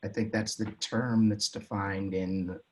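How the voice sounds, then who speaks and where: A man's voice: lowish voice, level tone, measured pace, a little mellow. A man making a comment in a committee conference call. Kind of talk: meeting comment.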